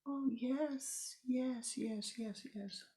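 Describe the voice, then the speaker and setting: A woman voicing a frightened young village girl who breaks down and confesses softly. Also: a little high, steady tone, drawn-out words, voice pushed up, rough recording. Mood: pleading. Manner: breaking down, pleading, soft.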